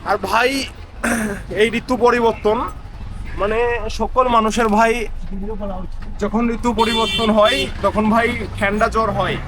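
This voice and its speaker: A man's voice: high-pitched, flat delivery, measured pace, long pauses. A man with a Bengali accent and a cold, chatting casually and repetitively as he vlogs. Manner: rambling, repeats phrases. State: has a cold. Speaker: vlogger, construction site labour supervisor. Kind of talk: casual vlog chat to camera. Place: outdoors on busy streets and a building site. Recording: background noise from street and construction site.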